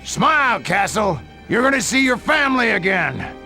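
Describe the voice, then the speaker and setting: An actor playing an aggressive, taunting hired assassin in a video game. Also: male voice, high-pitched, very animated, moderate pace, music in the background. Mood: gloating menace. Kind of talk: video game cutscene acting.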